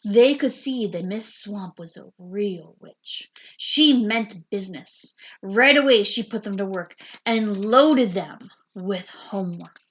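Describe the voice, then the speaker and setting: A woman teacher reading a picture book aloud in a slow, deliberate storytelling manner. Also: mid-range voice, lively intonation, pushed and bright, breathy edge, rough recording.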